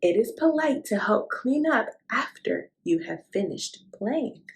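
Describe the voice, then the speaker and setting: A woman's voice: a little high, very animated, unhurried. A woman reading a picture book aloud to young children, speaking in an encouraging, instructive way and addressing the listener directly. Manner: encouraging, instructive, asks the listener questions and affirms. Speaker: host of a children's read-aloud show.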